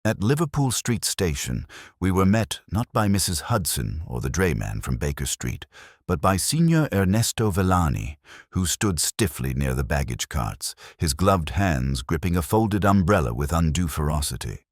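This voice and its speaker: A man's voice: low voice, sing-song, moderate pace, dry recording, clean studio sound. A man narrating a Victorian detective story in a scripted, literary audiobook style.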